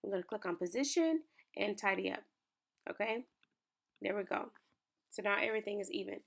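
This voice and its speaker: A woman's voice: medium pitch, brisk. A young woman, chatting upbeat with viewers as she walks through a design tutorial on her screen. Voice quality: raspy. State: raspy from early morning. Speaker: small business owner and lifestyle creator. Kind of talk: screen-share tutorial walkthrough.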